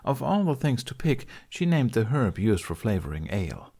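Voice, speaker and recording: A man's voice: mid-range voice, big pitch swings, moderate pace, mellow, husky. A man reading scripted story narration as an audiobook narrator.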